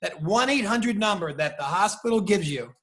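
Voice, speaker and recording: A middle-aged American man, a salon owner, talking in an animated, emphatic way as an interview guest over a video call. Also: high voice, moderate pace. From United States. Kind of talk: casual interview chat.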